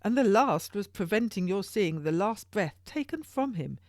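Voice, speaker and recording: A woman's voice: mid-range voice, expressive, normal speed, darkish tone, no room sound, studio quality, pitched above the performer's own voice, lightly acted. A woman voicing a theatrical, pompous king of England who speaks in grand, exclamatory, archaic courtly phrases in an audiobook. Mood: grandly effusive.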